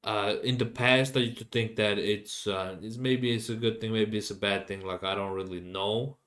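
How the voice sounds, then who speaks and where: A young man with a Finnish accent hosts his own podcast in a loose, rambling way. His voice sits a little low, and his English comes out haltingly, full of fillers and restarts as he hunts for words. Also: steady tone, a bit bright. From Finland. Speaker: podcast host. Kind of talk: casual solo rambling.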